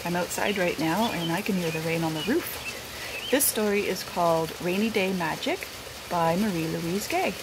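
A middle-aged woman, a teacher, reads a picture book aloud expressively, outdoors under a roof. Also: on the low side. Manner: unhurried, animated. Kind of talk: expressive read-aloud storytelling. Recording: rain noise behind the voice.

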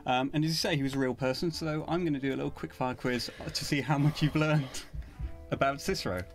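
A man's voice: mid-range voice, steady tone, quick pace, gravelly, faint music behind. A man, hosting a casual quiz as banter. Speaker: interviewer, quiz host, audio drama director. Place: recording studio control room, indoors.

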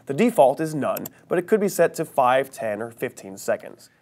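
Man with lively pitch presenting a tutorial. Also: normal speed. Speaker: training video presenter. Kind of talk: scripted tutorial narration.

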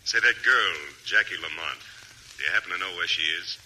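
Un reportero nervioso de voz grave. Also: male voice, low voice, a little fast, sharp tone, slightly rough, exaggerated voice. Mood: nervous. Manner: nervous, hesitant. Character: nervous male reporter held at gunpoint. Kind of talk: 1940s radio acting. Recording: old noisy radio broadcast.

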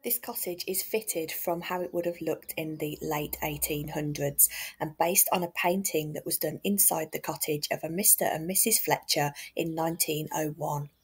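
A woman's voice: deep voice, normal speed, husky, poor audio. A woman from England narrating a walking tour in a friendly, chatty, enthusiastic way. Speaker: vlogger and tour guide.

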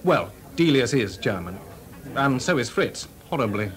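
An actor playing a witty young composer in a 1980s radio drama, talking dryly and ironically. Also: male voice, mid-range voice, big pitch swings, a little slow, music in the background. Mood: wry. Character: composer Delius, young man, wry and witty. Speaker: lead actor. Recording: party crowd behind.

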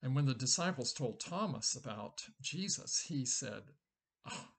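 A man in his sixties, a pastor, reading scripture and then giving a gentle children's sermon from a small room at home, recorded on a webcam at his desk. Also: mid-range voice, lively intonation, gravelly, husky. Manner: slow, gentle storytelling aimed at children.

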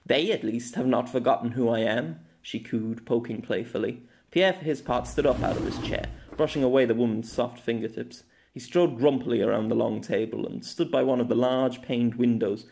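Brief: amateur male audiobook narrator, mid pitch, steady storytelling with small slips. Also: normal speed, creaky, few pauses.

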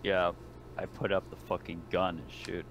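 A man's voice: low-pitched, level tone, moderate pace, fairly bright tone, slight background noise, low-quality recording. A man playing a tabletop game, chatting casually in short, blunt, sweary remarks. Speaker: player.

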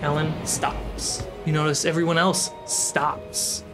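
A man with a slightly high voice runs a tabletop fantasy game. He narrates slowly, with suspenseful pauses between phrases. Clear music plays behind him. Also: flat delivery. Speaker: game master.

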